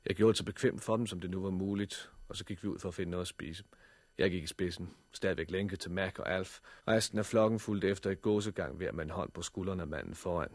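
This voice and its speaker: A man's voice: sits low, no room sound. An actor playing a sighted man who leads and narrates for a group of blind survivors in a 1960s radio drama. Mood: sober narration.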